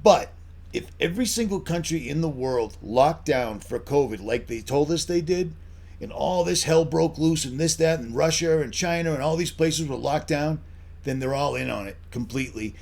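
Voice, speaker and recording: A man's voice: on the high side, moderate pace, creaky, a little mellow. A middle-aged American man giving an off-the-cuff solo commentary, blunt and insistent, often putting rhetorical questions to the listener. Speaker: online channel host.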